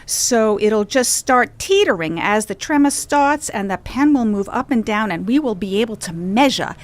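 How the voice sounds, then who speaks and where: An actress playing an eager, scrappy, street-smart amateur scientist, earnestly mangling big words in a comic audio drama. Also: female voice, fairly high pitch, lively intonation, normal speed, lightly acted. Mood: eager explaining.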